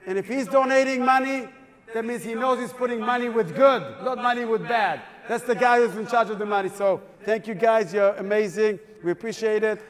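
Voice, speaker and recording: A man emceeing a live fundraising appeal in a synagogue hall, coaxing and playful with the crowd. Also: very high voice. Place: synagogue hall at an evening event.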